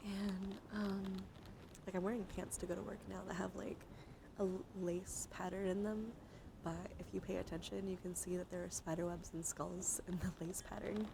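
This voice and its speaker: Female ASMR streamer: soothing, intimate, partly whispered, fry in the voice, meandering chat, noticeable noise on the recording. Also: on the low side, flat delivery, moderate pace. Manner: soft-spoken, soothing, meandering with gentle repetition.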